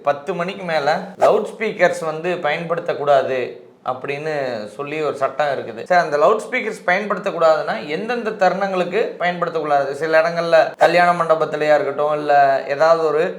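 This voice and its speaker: A man's voice: fairly high pitch, brisk. A man with a Tamil Nadu accent interviewing politely, putting respectful questions to an expert. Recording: echoey, reverberant room.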